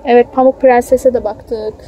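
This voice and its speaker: A woman's voice: fairly high pitch, level tone, moderate pace. A woman in her thirties talking casually to her small child, pointing things out and explaining, with coaxing endearments. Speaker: mother filming her child. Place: open-air children's park. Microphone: handheld phone, speaker behind the camera. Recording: outdoor phone video with faint crowd noise.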